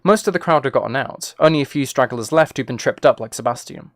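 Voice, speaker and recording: A man's voice: mid-range voice, quick pace, no echo, clean studio sound. A man giving a dramatic scripted audiobook-style narration as an author reading a story. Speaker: author and streamer reading own book.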